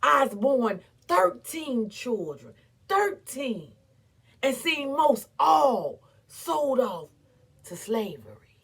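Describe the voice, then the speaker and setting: A woman giving a dramatic, theatrical recitation as an impassioned, defiant, weary but proud orator, with emphatic repetition and long pauses. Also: sits high, big pitch swings, creaky, slightly rough. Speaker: performer reciting a historical speech.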